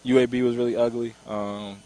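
A man's voice: medium pitch, level tone, a little fast, mellow. A young man in his twenties, a college basketball player on a headset mic at a courtside table in an arena, talking after a game with frequent ums. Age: 20s. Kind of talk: postgame interview.

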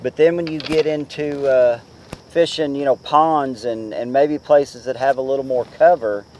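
A man in his forties giving casual fishing tips to the camera from a boat on a lake, talking in a relaxed, chatty way with many fillers. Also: medium pitch, normal speed, slight background noise. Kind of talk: casual how-to talk to camera.

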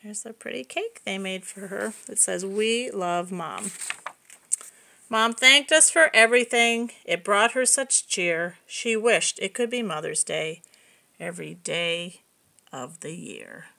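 A woman reading a picture book aloud to young children, expressive and explaining things simply. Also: mid-range voice, slow, gravelly. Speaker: preschool story reader.

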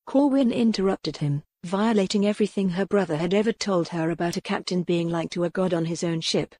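A woman's voice: on the low side, fairly quick, warm tone, no echo. A woman reading scripted narration as an audiobook narrator of historical fiction.

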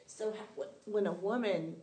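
A woman's voice: medium pitch, moderate pace, mellow. A woman from the audience asking a question into a handheld mic. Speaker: audience member.

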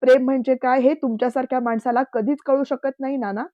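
A woman's voice: medium pitch, a little slow, no echo, natural voice. A woman voicing a defiant, hot-tempered young man who speaks in heated, insistent words, in a dull, dry recording. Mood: angry.

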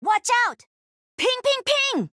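An actress playing a cocky, playful young pro-gamer mech pilot in a video game, with peppy, punchy delivery. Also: female voice, very high-pitched, very animated, slow pace, bright, forceful tone, no echo, studio quality, exaggerated voice. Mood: urgent, excited. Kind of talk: video game character voice lines.

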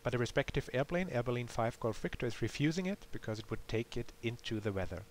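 A man's voice: mid-range voice, animated, fast talker, crowd noise. A man giving a matter-of-fact, explanatory tutorial voice-over for a simulator game. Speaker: developer demonstrating a simulator game. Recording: clear background hiss.

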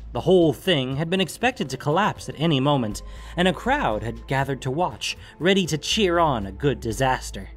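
A man narrating a scripted fantasy story as the narrator of an audio production. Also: on the high side. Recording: music under the voice.

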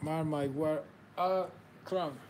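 A man's voice: a little high, fairly even intonation, extremely slow, a little mellow, a little hiss or hum. A man, whose first language is Spanish, hosts a live trading card break, calling out players and congratulating viewers in slightly non-native phrasing. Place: indoor table with card boxes.

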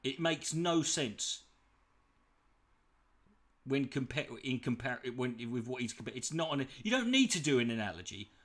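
A man's voice: mid-range voice, unhurried, pauses often. A man with an Irish accent giving a profane, mocking reaction commentary from home. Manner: mocking, swearing, animated.

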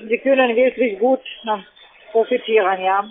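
A man with an extremely high voice works as a telephone sales agent. He is a non-native German speaker with stiff, formal politeness. He comes through a thin phone line. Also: normal speed. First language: not German.

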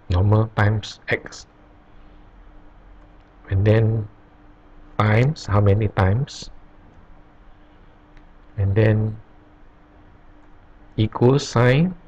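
A man teaching programming over a screen recording, explaining each step slowly with pauses. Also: fairly low pitch, a little mellow. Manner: slow, halting explanation with pauses. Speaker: programming tutor. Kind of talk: screen-recorded coding tutorial. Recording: dull lo-fi recording.

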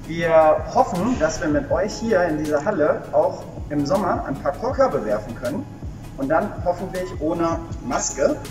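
A middle-aged sports teacher with a slightly high voice talks in a relaxed, joking way. He sounds distant from the mic in an echoing gym, with clear music behind. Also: a little slow. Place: large indoor sports hall.

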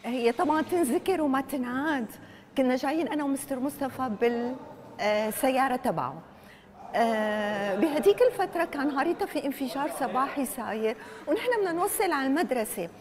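A woman in her fifties speaks with a high, bright pitch and rattles along at a very fast clip. She tells the story animatedly, her voice captured up close on a lapel mic in an indoor school room. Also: crowd noise. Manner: animated storytelling, vivid and quick. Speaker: school staff member. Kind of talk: interview recollection.

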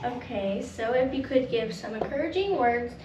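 High-voiced young woman interviewing. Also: animated, normal speed, noisy background. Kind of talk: vlog interview with prepared questions. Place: small backstage dressing room. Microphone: camera across the room. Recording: roomy, distant camera mic, background music.

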